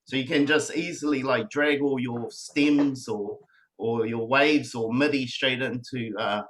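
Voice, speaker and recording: A man in his thirties with a New Zealand accent, chatting casually as a guest about making beats, relaxed and rambling with many fillers. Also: medium pitch, a little slow, a little hiss or hum, low-quality recording. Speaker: beatmaker guest. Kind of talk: casual online chat.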